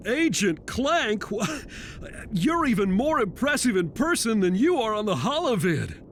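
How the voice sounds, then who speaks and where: A man's voice: high-pitched, big pitch swings, measured pace, slight background noise, full character voice. A man playing a middle-aged, authoritative president, a protective father with commanding, folksy bluster. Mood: stern, gruffly impressed.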